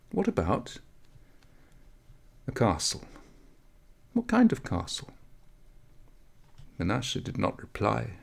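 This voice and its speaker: A man reading a story aloud as scripted narration, measured, with dramatic pauses. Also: sits low, creaky. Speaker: audiobook reader.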